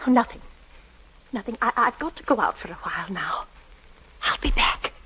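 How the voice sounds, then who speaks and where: A woman's voice: fairly high pitch, lively intonation, normal speed, very noisy, lightly acted. A woman playing a fearful middle-aged landlady and mother, narrating breathlessly and melodramatically in 1940s radio style. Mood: nervous. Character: anxious, suspicious landlady, wife and mother. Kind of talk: 1940s radio acting, dramatic first-person narration. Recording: dull 1940s radio recording. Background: dramatic music.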